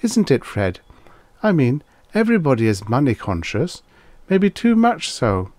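A man's voice: huge pitch swings, unhurried, a little mellow, no echo. A man voicing a curious, polite wife in a comic audiobook story. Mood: thoughtful. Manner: polite, inquisitive, higher-pitched put-on voice.

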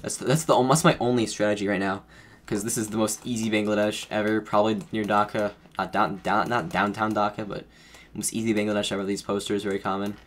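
Low-voiced man thinking aloud while gaming. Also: little pitch movement, fairly quick. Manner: rambling, self-correcting, thinking aloud while guessing. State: under the weather, voice a bit off. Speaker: gaming streamer. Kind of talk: casual play-by-play commentary.

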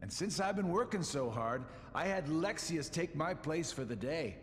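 Man playing sly, drawling schemer with swooping pitch. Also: lively intonation, normal speed, big-room sound, slight background noise, lightly acted. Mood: sly, casual. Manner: drawling, teasing, theatrical. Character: sly, mocking middle-aged male schemer. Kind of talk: video game cutscene acting.

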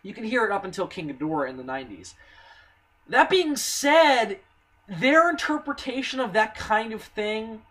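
A hoarse young man with a slight cold, talking casually and excitedly about a movie trailer, with lots of ums and restarts. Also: sits high, normal speed, rough recording. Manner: excitable, rambling, swearing, many ums and restarts. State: hoarse, a little sick. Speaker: movie news vlogger. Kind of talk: casual spoken movie commentary.

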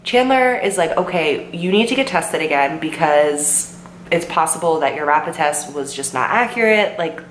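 A woman's voice: deep voice, animated, normal speed. A sick young woman in her twenties, voice worn and her throat sore, telling a rambling story with lots of "like" and "um" to a camera in her bedroom. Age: 20s. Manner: chatty, lots of "like" and "um", quotes herself. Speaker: vlogger. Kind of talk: casual storytime vlog. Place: bedroom with bookshelves, indoors. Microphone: camera in front at desk distance. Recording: roomy with faint hum.

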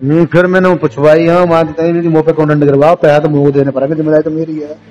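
Placating man, rural western UP accent, slightly raised pitch, repetitive, informal phone recording over background music. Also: little pitch movement, fast talker, a little mellow. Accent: western Uttar Pradesh rural. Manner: placating, repetitive, murmured agreement. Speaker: village head on a phone call. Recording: leaked phone call recording, music behind.

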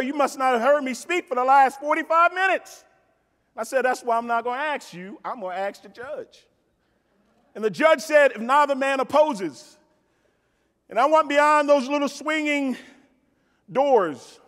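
Middle aged american guy doing a motivational keynote, really high voice, big echoey hall, punchy repeats. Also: fairly bright tone. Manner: emphatic, with repetition for effect and direct address to the audience. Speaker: keynote speaker. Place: on stage in a large convention hall. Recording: reverberant large hall PA sound.

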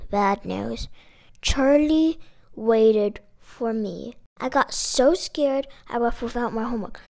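A woman reading a children's picture book aloud in an expressive, emphatic storytelling manner. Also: a little high. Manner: expressive, emphatic delivery with dramatic exclamations. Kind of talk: animated children's read-aloud.

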